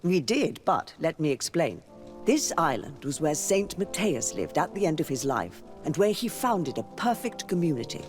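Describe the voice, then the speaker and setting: A stately church matriarch speaks in a low female register with lively swings of pitch. She is formal, pious and persuasive, a solemn game character with music clearly behind her. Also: moderate pace. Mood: solemn, explanatory. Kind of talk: scripted video game dialogue.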